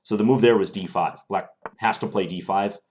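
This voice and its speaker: Low male voice, young adult, live chess stream commentary, casual thinking aloud, slightly strained. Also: moderate pace, a little hiss or hum. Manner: relaxed, thinking aloud with many fillers.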